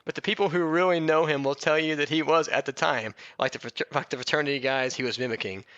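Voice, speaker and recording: Male podcast host, forceful bright tone, mock-biography reading with casual jokey asides, slightly dulled recording. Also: fairly high pitch, fast talker, no room sound, lo-fi sound. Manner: jokey, often breaking into laughter mid-sentence.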